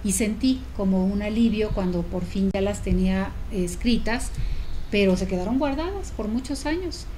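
A woman's voice: mid-range voice, normal speed, dark tone, slight background noise, rough recording. A middle-aged Mexican woman from Veracruz, an author chatting about her writing in a small studio on a lapel mic. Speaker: author guest. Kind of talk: conversational interview. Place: small indoor TV studio set.

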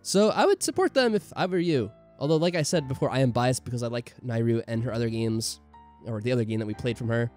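A man hosts a gaming video in loose, casual talk. His mid-pitched voice moves around a lot, with music playing behind him, and he rambles in a self-deprecating way. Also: expressive, quick pace, a little mellow. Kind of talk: casual chat over a game playthrough.

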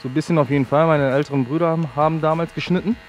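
A man's voice: fairly high pitch, steady tone, racing, slight background noise. A man in his thirties, a barber, casually explaining his work through a headset mic in a sports hall. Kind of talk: casual explanation while working. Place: large indoor sports hall.

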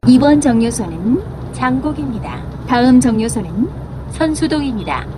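A woman's voice: on the high side, big pitch swings, moderate pace, background noise. A woman reading scripted, pre-recorded bus stop announcements.

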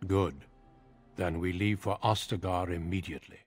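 An actor playing a stern, noble middle-aged warrior commander in a video game, husky, grave, formal and measured. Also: male voice, low voice, steady tone, soft background music. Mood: grave, resolute.

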